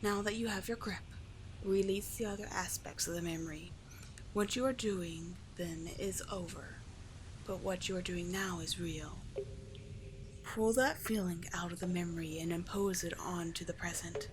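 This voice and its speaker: A woman voicing a cursed sea witch in an ASMR roleplay, tender and persuasive, with a breathy voice that often drops into whispers. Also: on the low side, steady tone, slight background noise, lightly acted. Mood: soothing, guiding. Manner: slow, soothing, theatrical endearments. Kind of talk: ASMR roleplay, scripted monologue.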